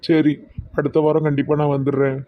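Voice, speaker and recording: Man voicing a tender, flat-toned young husband. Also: fairly high pitch, fairly quick, phone-quality sound, natural voice. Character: young husband, affectionate and clingy.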